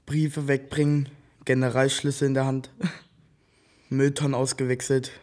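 A man's voice: medium pitch, level tone, a little slow, a little mellow. A young man from Berlin, talking loosely and jokingly in casual slang as the subject of a documentary. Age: young adult. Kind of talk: casual street chat.

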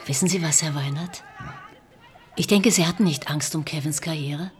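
A woman's voice: deep voice, normal speed, lightly acted. An actress playing a middle-aged police inspector and mother in a radio drama, direct and insistent in her questioning. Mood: accusing, firm. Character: probing female police inspector, mother of grown son. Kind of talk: naturalistic German radio drama acting.

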